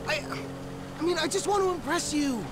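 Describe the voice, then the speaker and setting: An actor playing a whiny young American slacker son in a video game, slangy and defensive. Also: male voice, very high-pitched, expressive, normal speed. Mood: aggrieved. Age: young adult. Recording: clear vehicle noise behind.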